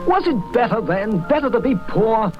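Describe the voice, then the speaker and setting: A man's voice: high-pitched, lively intonation, moderate pace, over music. An actor playing a defensive, hardening young businessman in a 1930s radio drama, earnest and questioning, on a dull old broadcast.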